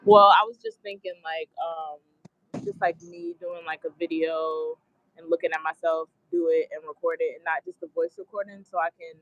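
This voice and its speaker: Male actor, fairly high pitch, informal conversational delivery, dull dry remote-call recording. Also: fairly even intonation, normal speed, a bit bright, no room sound. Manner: informal, frequent "like" and "you know". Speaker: actor in rehearsal. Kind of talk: casual chat about a role.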